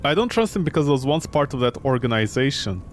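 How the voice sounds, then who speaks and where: A man voicing a calm, long-winded old immigrant woman, a former policewoman, in a game. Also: mid-range voice, fast, a little hiss or hum, close to an everyday voice. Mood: nostalgic. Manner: recounting her life at length.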